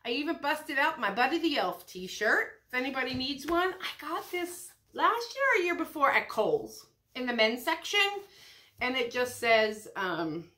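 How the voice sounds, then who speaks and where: A woman's voice: high-pitched, animated, unhurried, sharp tone. A middle-aged American woman, slightly congested from a cold, chatting casually and ramblingly through a shopping haul in a small room at home. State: has a head cold. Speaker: vlogger.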